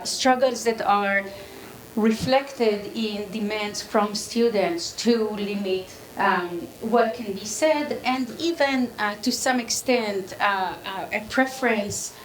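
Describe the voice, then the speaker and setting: A woman with an Israeli accent lectures slowly from a podium, pausing often on fillers. Her voice rings in an echoey hall. A faint room hum sits beneath her. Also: medium pitch. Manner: halting, many fillers. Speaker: guest speaker, professor. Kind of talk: public lecture.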